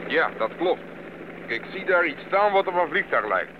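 Zakelijke piloot in luid lawaai. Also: male voice, on the high side, sing-song, normal speed. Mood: businesslike. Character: businesslike male helicopter pilot. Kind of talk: Dutch radio drama acting. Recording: phone-like, muffled under loud engine noise.

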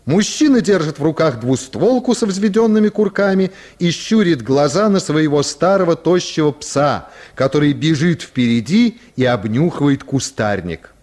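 A man narrating a literary short story for a radio drama in an expressive style. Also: on the high side, moderate pace, few pauses.

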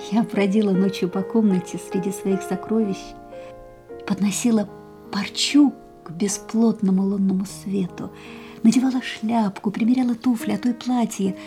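A woman's voice: medium pitch, natural voice. An actress playing a restless, dreamy, haunted young woman in an intimate radio drama. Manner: introspective, literary, dramatic delivery. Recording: radio play with music underneath. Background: music.

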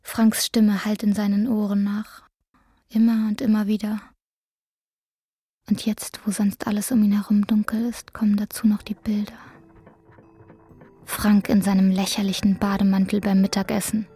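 A woman's voice: medium pitch, monotone, moderate pace, pauses often, mellow, breathy edge, faint music behind. A woman narrating a literary radio drama from a script.

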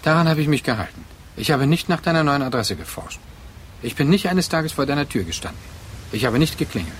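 An actor in a radio drama playing a brooding, wounded man haunted by a former lover, terse, deliberate and reflective. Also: fairly high pitch, sing-song, slight background noise. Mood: defensive, resigned. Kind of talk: introspective radio drama monologue and dialogue.

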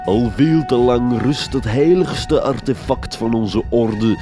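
A man's voice: medium pitch, slow, music in the background, lightly acted. A man playing a pompous mad-scientist count who chairs a secret society, speaking formally and theatrically in a gothic comedy radio play. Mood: grave, scheming.

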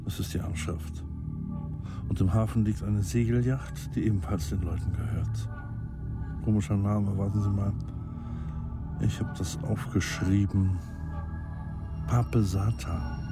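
A man's voice: on the low side, fairly even intonation, a little slow, long pauses, over loud music, lightly acted. An actor in a radio play voicing a businesslike male arms expert and professional killer, methodical and formally polite in his instructions. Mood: matter-of-fact.

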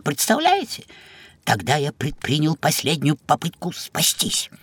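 Dramatic cowardly talking cat, raspy, all over the place in pitch, courtly kids audio play stuff. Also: wildly swooping pitch, unhurried, a bit bright, dry recording, exaggerated voice. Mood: breathless, dramatic storytelling. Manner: theatrical, exclaiming, hesitant, addresses listener as sir. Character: dramatic, cowardly, willful talking tomcat, courtly toward his master. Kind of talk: children's audio play acting.

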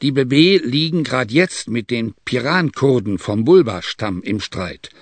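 A man's voice: mid-range voice, animated, unhurried, no echo. A man voicing a Bedouin tribesman giving a matter-of-fact report in an audiobook reading.

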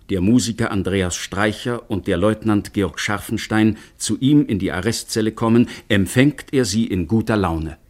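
A man narrating a scripted radio drama in a measured, dramatic storytelling manner. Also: lowish voice.

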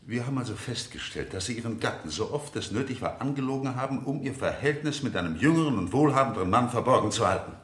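An actor playing a relentless, insinuating cross-examining lawyer in a radio play, with formal, pointed, sardonic questioning. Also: male voice, mid-range voice, animated, fast talker, hardly any pauses, a bit bright, close to an everyday voice. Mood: probing, sharp. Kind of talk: 1970 German radio play acting. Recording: echoey vintage radio studio.

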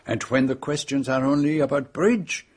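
An actor playing a fastidious, perceptive Belgian detective in a radio drama, speaking precisely and courteously with a French-speaking Belgian accent. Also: male voice, mid-range voice, lightly acted. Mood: analytical, intrigued. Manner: precise, explanatory, formally courteous.

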